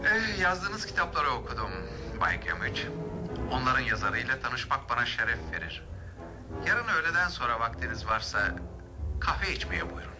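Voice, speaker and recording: A man's voice: medium pitch, big pitch swings, moderate pace, lightly acted. A man in a radio drama playing a courteous, worried older male aristocrat, with formal and hesitant speech. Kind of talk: 1970s radio drama acting. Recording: radio drama with music behind.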